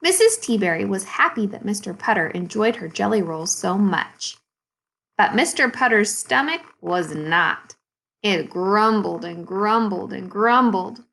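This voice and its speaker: A woman teacher reading a storybook aloud to second graders in an expressive, deliberate way, with dramatic pauses. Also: medium pitch, vocal fry, poor audio. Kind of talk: storybook read-aloud for young children.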